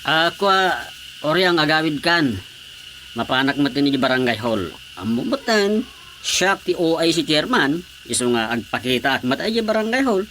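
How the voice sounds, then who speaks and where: A man's voice: on the high side, big pitch swings, normal speed, bright, forceful tone, a little hiss or hum. A man with an Ilocano accent playing a hesitant, evasive village officer in a radio comedy, stammering as he goes. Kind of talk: Filipino radio comedy drama acting.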